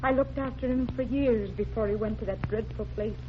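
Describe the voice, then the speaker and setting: An actress playing a devoted, grieving secretary, mournful, solemn and protective, in 1940s radio melodrama style. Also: female voice, fairly high pitch, brisk, lightly acted. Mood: mournful, haunted. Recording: noisy, muffled vintage 1940s radio recording.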